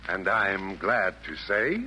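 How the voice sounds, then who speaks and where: An actor playing a grandiose, coaxing fiend who presides over hell's evildoers in a rhymed 1940s radio comedy, with pompous theatrical delivery. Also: male voice, low voice, slow pace, pushed and bright, heavily acted. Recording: faintly noisy old radio recording.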